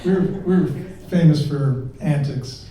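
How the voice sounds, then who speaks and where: A higher-pitched older man from New England, halting and restarting as he recalls things on a remote interview call. His voice is mellow and sounds far from the mic in an echoey room, with faint hiss behind. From New England, United States. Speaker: interview guest. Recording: roomy, distant, faint hiss.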